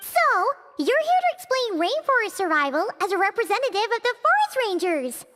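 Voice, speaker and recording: Squeaky tiny floating companion. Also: female voice, brisk, background noise. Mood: chirpy. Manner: excitable, refers to herself in third person. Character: tiny floating female companion, chirpy and nosy. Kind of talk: video game dub. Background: game music.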